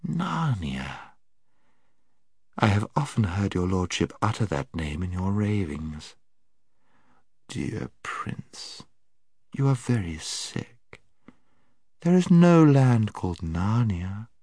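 Man playing storybook witch queen, low, soft and slow, soothing, half-mocking, courtly, close dry recording. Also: expressive, dry recording. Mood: hushed, hypnotic. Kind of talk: dramatised storybook reading.

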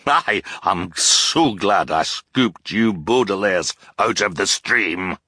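A man voicing a blustering, excitable ship captain who shouts and tacks "aye" onto everything. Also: low voice, slow pace, slightly rough, dry recording, clean studio sound, full character voice. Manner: loud, exclaiming, tacks on "aye" constantly.

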